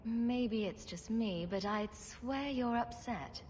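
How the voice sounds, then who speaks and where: A woman's voice: a little high, takes it slowly, darkish tone. An actress playing a sarcastic female rogue champion in a video game, with a dry, teasing manner. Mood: wry. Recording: faint background noise.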